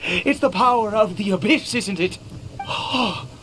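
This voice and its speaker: A middle-aged man playing an anguished, fanatical father in a video game, theatrical and intense, with halting dramatic pauses. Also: sits high, fairly bright tone. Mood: fervent, awed. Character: anguished father turned fanatical abyss devotee, male, theatrical. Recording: noisy game stream capture.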